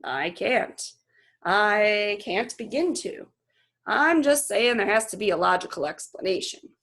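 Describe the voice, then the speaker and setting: A woman reading a children's novel aloud as a reluctant, doubtful father, measured and weary. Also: mid-range voice, lively intonation, a bit bright.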